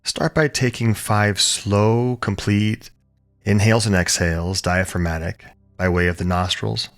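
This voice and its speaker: A man leading a guided relaxation as a yoga teacher, speaking calmly and soothingly in short, measured phrases with pauses. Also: on the low side, soft background music. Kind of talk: guided meditation, gentle instruction.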